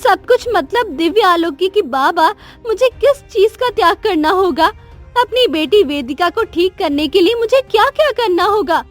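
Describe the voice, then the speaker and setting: A very high, girlish-pitched young queen voiced by a woman from a cartoon tale. She is an anxious, guilt-ridden mother who speaks earnestly and dramatically, pleading, with faint music underneath. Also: expressive, moderate pace. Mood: pleading resolve. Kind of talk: Hindi cartoon story dialogue.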